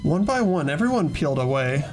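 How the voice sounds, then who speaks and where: Man acting high-pitched, flustered teenage boy. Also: big pitch swings, a little fast, a little mellow, faint music behind. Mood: narrating, uneasy. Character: earnest, easily flustered teenage boy, narrating a murder investigation. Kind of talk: amateur visual novel voice acting with narration.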